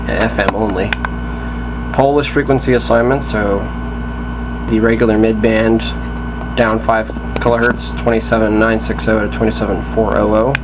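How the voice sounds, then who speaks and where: A man casually demonstrating a CB radio, explaining slowly with pauses and restarts. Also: on the low side. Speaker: radio reviewer. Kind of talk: casual product demo. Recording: loud background noise.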